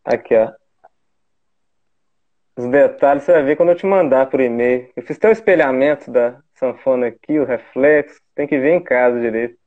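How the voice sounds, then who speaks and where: A man's voice: mid-range voice, moderate pace. A man, a digital artist, chatting casually and explaining every detail of his drawings with eager care. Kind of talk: casual chat. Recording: tinny phone-like line.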